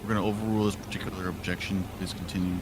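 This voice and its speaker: A man plays a judge in a roleplay courtroom, handing down short, firm rulings. His voice sits a little low. Loud noise runs through the game voice chat. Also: level tone, very fast. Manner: brief, authoritative rulings.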